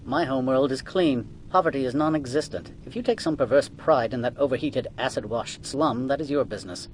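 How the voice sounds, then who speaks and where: Casting: male actor as snooty alien administrator for sci-fi game, medium-pitched, impatient, condescending, pompous. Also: a little fast, a little hiss or hum, natural voice. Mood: disdainful, irritated. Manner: pompous, dismissive. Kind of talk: video game cutscene acting.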